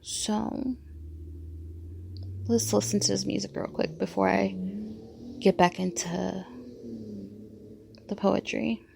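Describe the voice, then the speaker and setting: A woman's voice: medium pitch, steady tone, slow, creaky, long pauses, darkish tone, a little hiss or hum. A woman hosting her own poetry podcast, speaking in an intimate, personal way. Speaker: podcast host and poet. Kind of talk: intimate spoken-word poetry reading and casual podcast chat.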